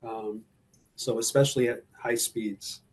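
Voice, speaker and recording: A man being interviewed about his anxiety, speaking hesitantly with frequent pauses and fillers. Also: mid-range voice, steady tone. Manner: hesitant, many fillers and restarts. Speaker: guest describing his driving anxiety. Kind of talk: personal account in an interview.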